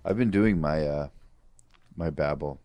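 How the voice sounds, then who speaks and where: Very deep-voiced deadpan man. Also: muffled recording, music in the background. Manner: flat, halting delivery with many fillers. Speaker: podcast co-host. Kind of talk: deadpan comedy podcast banter, mock ad read.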